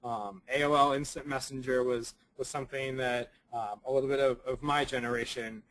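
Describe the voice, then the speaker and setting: Young male presenter, slightly raspy from a cold, halting delivery full of ums and restarts. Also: medium pitch, fairly even intonation, a bit bright, poor audio. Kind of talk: recorded conference presentation.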